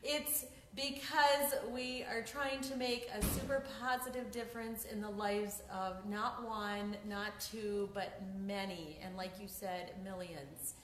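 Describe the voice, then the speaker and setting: A woman's voice: mid-range voice, fairly even intonation, slow pace, few pauses, fairly bright tone, reverberant, long reverb tail, slight background noise, low-quality recording. A woman co-hosting an interview, speaking with enthusiasm in a casual chat. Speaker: co-host.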